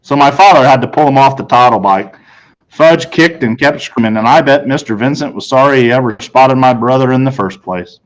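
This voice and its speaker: A man's voice: medium pitch, fairly quick, low-quality recording. A man reading a children's novel aloud as scripted first-person narration. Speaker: story reader.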